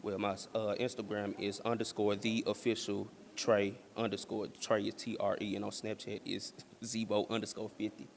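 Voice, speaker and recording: A man's voice: on the low side, flat delivery, normal speed, creaky, hardly any pauses. An American man, a stage actor, giving casual answers in an interview with some noise behind him.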